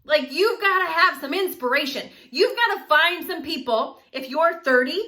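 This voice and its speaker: A woman of about forty chats straight to her viewers in a lively, animated way, often breaking into laughter. Her voice sits high and sounds bright and forward. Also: moderate pace. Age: 40s. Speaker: vlogger. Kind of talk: casual talk to camera.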